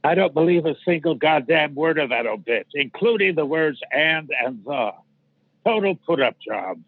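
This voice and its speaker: An actor playing a gruff, blunt older retired detective with a husky voice, heard in an audio drama. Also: male voice, a little high, expressive, moderate pace, dry recording. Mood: scornful. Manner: blunt, emphatic. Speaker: retired police detective turned investigator. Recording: band-limited, tinny phone or radio line.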